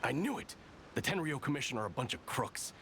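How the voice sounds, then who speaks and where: Suspicious soldier, mid-pitched, very animated, blustery, disgruntled, game music underneath. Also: male voice, fairly quick, creaky. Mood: indignant. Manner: grumbling, blustery. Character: hot-headed, disgruntled, suspicious rank-and-file soldier.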